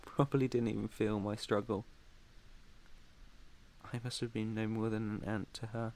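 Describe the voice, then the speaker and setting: Man playing a low, soft-spoken British vampire master. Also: little pitch movement, moderate pace, lightly acted. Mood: haunted, solemn. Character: protective male vampire master, haunted, tender. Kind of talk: intimate ASMR roleplay monologue.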